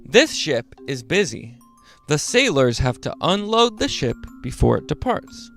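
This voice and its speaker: Man, children's story host, playful and expressive, very lively pitch, unhurried, music underneath. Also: vocal fry. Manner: playful, expressive, drawn-out delivery. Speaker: host of children's read-along show. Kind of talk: animated read-aloud of picture books with asides to children.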